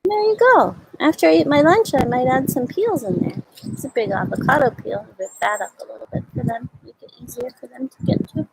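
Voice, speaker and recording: A woman hosting a storytime for young children, explaining and reading aloud in simple words with little questions, in a slightly husky voice. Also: sits high, lively intonation, a little fast, no echo, low-quality recording. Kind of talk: show-and-tell and read-aloud for young children.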